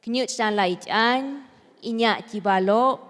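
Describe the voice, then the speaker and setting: Slow-paced Mexican woman announcing in echoing hall. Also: a little high, far from the mic. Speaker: master of ceremonies. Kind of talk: formal ceremonial event announcing. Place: large event hall. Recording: very echoey hall, amplified, faint noise.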